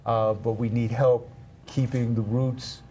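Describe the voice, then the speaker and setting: A middle-aged man answering interview questions in a measured, halting conversational way. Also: mid-range voice, little pitch movement, crowd noise. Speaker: arts organization leader, interview guest. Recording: faint background noise.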